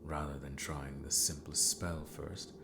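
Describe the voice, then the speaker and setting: A man acts out a courteous, menacing villain mentor in an ASMR roleplay, extremely low in pitch and slow in pace. He speaks formally and with calm calculation, slipping into secretive whispers, over loud background noise. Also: heavily acted. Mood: measured, instructive. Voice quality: very deep, gravelly. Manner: slow, deliberate, formal, dropping often into whispered muttering. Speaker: villainous mentor training an apprentice.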